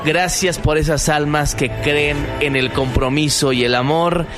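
A man's voice: on the high side, fairly even intonation, measured pace. A man with a Mexican accent hosting a radio show with casual banter and interview questions. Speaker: radio host. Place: radio studio. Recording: loud music bed behind voice.

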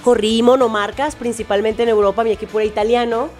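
A woman's voice: on the high side, steady tone, fast talker, music in the background. A woman from Medellín, Colombia, with a Colombian accent, explaining things enthusiastically as an interview guest, with frequent fillers. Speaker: car tester, guest. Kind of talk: casual interview chat.

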